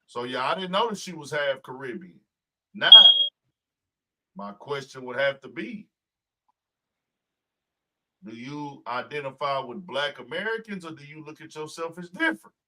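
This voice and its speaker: A man hosting a podcast in casual chat, talking in a profane, emphatic, animated way. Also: a little high, normal speed, long pauses, poor audio. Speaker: podcast host.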